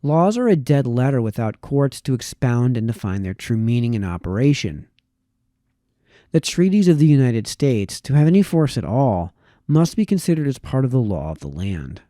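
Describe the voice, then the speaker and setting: A man reading a formal historical text aloud in a measured way, now and then stumbling over a word. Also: medium pitch, very animated, warm tone, dry recording, studio quality. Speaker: reader.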